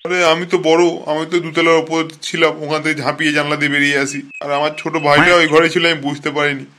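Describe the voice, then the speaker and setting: A man's voice: on the high side, little pitch movement, racing, pushed and bright. A man voicing a blunt, streetwise big-brother convict in a comic cartoon. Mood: mournful. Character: gruff, streetwise older-brother convict, teasing yet sentimental.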